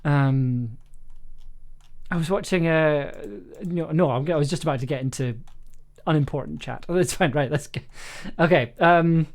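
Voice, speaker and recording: A chatty audio drama producer with a medium-pitched voice, directing casually on a livestream. The delivery rambles along and often tips into giggles, as in relaxed conversation between colleagues. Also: moderate pace.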